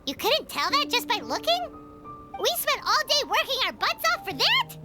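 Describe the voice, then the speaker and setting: A woman's voice: very high voice, normal speed, over loud music, heavily acted. A woman voicing a tiny childlike fairy companion, cheerful and outspoken, in an anime-style video game. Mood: indignant. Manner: excitable, speaks of self in third person.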